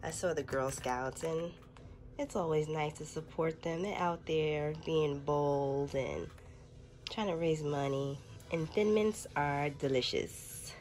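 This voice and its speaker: A woman's voice: low voice, takes it slowly, a little mellow. A young adult woman chatting casually on a family vlog, a little nasal from a cold, laughing often. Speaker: family vlogger. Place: home kitchen, later outdoors in a park. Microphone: phone held at arm's length.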